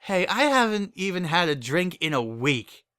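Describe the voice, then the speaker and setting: Man voicing a high-pitched, whiny tipsy cartoon mare. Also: very animated, moderate pace, bright, forceful tone, clear, resonant tone, no echo, studio quality, full character voice. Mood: indignant. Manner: whiny, belligerent. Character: drowsy, scrappy, whining mare, hard-drinking pony mother.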